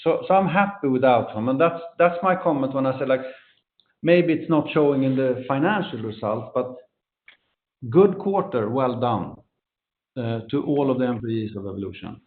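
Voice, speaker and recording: A man speaking as a company executive, answering questions off the cuff on an investor call, often halting and repeating words. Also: mid-range voice, animated. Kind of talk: unscripted answers on an earnings conference call. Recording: roomy echo, dull band-limited conference call audio.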